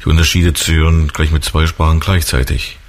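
A man's voice: very deep voice, little pitch movement, fairly quick. A man hosting a radio interview, chatting loosely with many fillers. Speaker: radio host.

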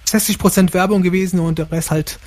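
A man with a high voice answers a radio host's questions. He keeps his replies short and relaxed and laughs easily. He grew up bilingual. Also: fairly quick. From Germany. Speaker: guest. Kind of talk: radio interview.

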